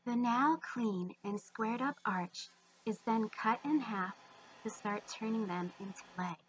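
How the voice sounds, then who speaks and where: A woman's voice: mid-range voice, slight background noise, low-quality recording. A woman giving scripted instructional narration for a woodworking video.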